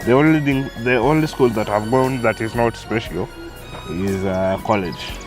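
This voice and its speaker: A man's voice: on the low side, normal speed, music in the background. A man in his thirties with a Kenyan accent, a guest telling his life story, who hesitates and repeats words as he starts. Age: 30s. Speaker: interview guest.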